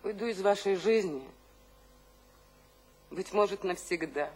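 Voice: mid-range voice, measured pace, slightly rough, low-quality recording. An actor playing a sorrowful, exalted young romantic in a poetic radio drama, with fervent declamation. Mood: wistful farewell.

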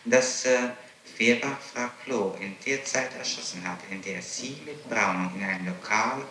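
A man questioning someone as a prosecutor, formal and somewhat halting, on an archival interrogation tape. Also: fairly low pitch, bright, forceful tone, reverberant, a little hiss or hum. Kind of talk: spontaneous interrogation.

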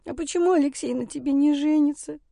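Woman playing a little girl, whiny, pouting, pleading, high-pitched, soft mellow tone, audiobook. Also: fast, vocal fry, no room sound, studio quality. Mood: tearful. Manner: whining, pleading.

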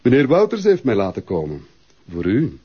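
An actor with a Flemish accent playing a smooth, flattering and pushy photographer in a naturalistic TV drama. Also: male voice, mid-range voice, normal speed, highs cut off. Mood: smooth, apologetic. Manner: smooth-talking, persuasive.

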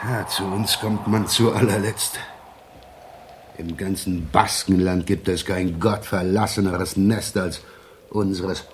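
Slow, low-voiced gruff village innkeeper. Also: male voice, fairly even intonation. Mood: hearty. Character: gruff, talkative, wine-loving Basque village innkeeper. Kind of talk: German radio crime drama acting. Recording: hissy 1970s radio play recording.

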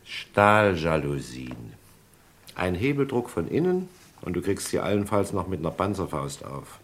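A man's voice: sits low, huge pitch swings, a little slow, a little hiss or hum, exaggerated voice. A man playing a cool, matter-of-fact hired agent in a German radio crime drama, speaking in a dry, laconic, slangy way.

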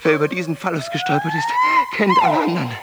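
An actor playing a whimsical, smug fantasist on the run, declaiming theatrically in a radio play. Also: male voice, sits high, huge pitch swings, quick pace, full character voice. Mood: excited, fleeing. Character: whimsical, smug fugitive fantasist man, oddly carefree. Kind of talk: theatrical avant-garde radio play monologue. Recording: loud music behind the voice.

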